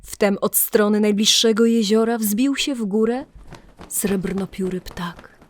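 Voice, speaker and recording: Slow, solemn woman storyteller. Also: mid-range voice, fairly even intonation, slight background noise. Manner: solemn, deliberate, dramatic storytelling. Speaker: narrator. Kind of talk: scripted storytelling narration of a legend in archaic language.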